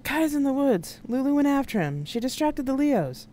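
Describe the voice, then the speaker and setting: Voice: medium pitch, expressive, moderate pace, darkish tone, a little hiss or hum, lightly acted. A narrator voicing a dutiful, earnest male agent in an audiobook story. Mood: urgent. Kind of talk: audiobook character dialogue.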